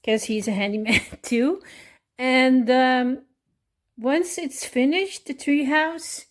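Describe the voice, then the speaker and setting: A woman with a Dutch accent casually explaining a craft project, pausing often with fillers and correcting herself as she goes. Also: sits high, steady tone. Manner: hesitant, many pauses and fillers, self-correcting. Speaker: hobby crafter showing a miniature build. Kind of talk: casual show-and-tell explanation.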